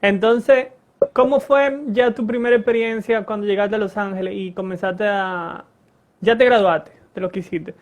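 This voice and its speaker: A man's voice: sits high, steady tone, moderate pace, lo-fi sound, slight background noise. Man hosting a casual online interview. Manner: supportive, brief agreeing replies. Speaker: host. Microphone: video call.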